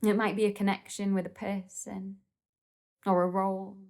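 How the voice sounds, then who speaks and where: A woman leading a guided meditation in a gentle, soothing, unhurried manner with pauses between phrases. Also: mid-range voice, little pitch movement, creaky.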